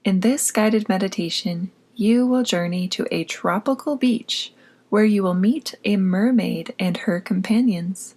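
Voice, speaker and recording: A woman narrating a guided meditation in a soft, breathy voice, with a soothing, gently instructing manner. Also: medium pitch. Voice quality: soft, breathy, often near whisper.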